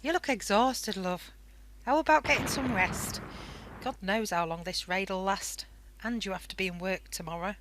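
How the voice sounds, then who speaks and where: A woman plays a caring young pregnant wife in wartime Britain, for a radio drama. She has a British accent and a medium-pitched voice, earnest and worried in manner. Faint noise and clicks sit behind her. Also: moderate pace, close to an everyday voice. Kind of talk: radio play acting.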